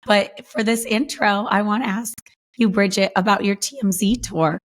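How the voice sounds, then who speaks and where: A woman hosting a casual podcast with a hoarse voice, giving brief, easygoing replies. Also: medium pitch, fairly even intonation, normal speed. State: lost voice, hoarse. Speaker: podcast host. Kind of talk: casual chat.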